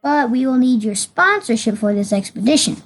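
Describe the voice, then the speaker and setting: A woman's voice: fairly high pitch, expressive, moderate pace. A woman playing an earnest, respectful male monk in an amateur audio play, speaking formally.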